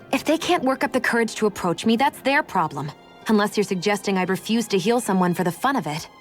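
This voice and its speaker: A woman plays a prickly young female healer from a fantasy game, with a mid-pitched voice. She speaks in a cool, blunt, impatient way over soft background music. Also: expressive, a little fast, close to an everyday voice. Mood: defensive, impatient. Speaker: game character voice. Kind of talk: scripted English game voice acting. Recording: background music under dialogue.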